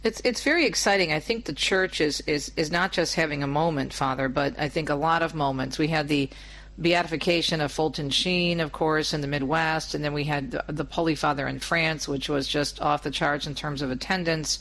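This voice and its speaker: A man's voice: on the high side, steady tone, a little fast, a bit bright. A man hosting a radio talk show, speaking enthusiastically and conversationally with his guest. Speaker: radio host. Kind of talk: radio interview.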